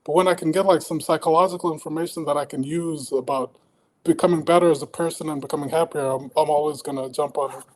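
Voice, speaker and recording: Man, high-pitched voice, podcast guest, thoughtful, pauses to find words. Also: fairly even intonation, low-quality recording. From Denmark. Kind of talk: casual conversational podcast chat.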